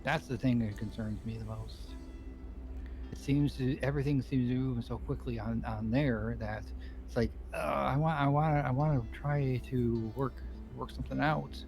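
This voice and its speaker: A man's voice: medium pitch, steady tone, moderate pace, vocal fry, a little mellow, soft background music, close to an everyday voice, poor audio. A man playing an anxious, hesitant criminal defendant in improvised game roleplay, stammering and repeating his words. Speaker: roleplay streamer playing a character.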